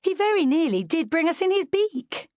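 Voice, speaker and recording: A woman reader puts on a young British girl, the fanciful eldest sister of a storybook family. The pitch is lifted and the recording is dry. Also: sing-song, moderate pace, a little mellow, no room sound. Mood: musing.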